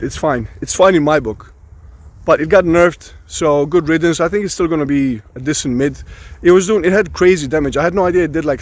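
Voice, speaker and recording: A hoarse man vlogging casual game patch commentary outdoors without his usual microphone, rambling and joking, swearing now and then. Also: on the high side. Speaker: gaming vlogger.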